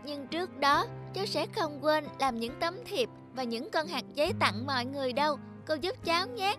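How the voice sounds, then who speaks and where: A woman's voice: high-pitched, animated, moderate pace, music in the background. A woman voicing a spoiled rich little girl in a cartoon, who talks in an eager, chatty, childlike way. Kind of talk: cartoon dubbing.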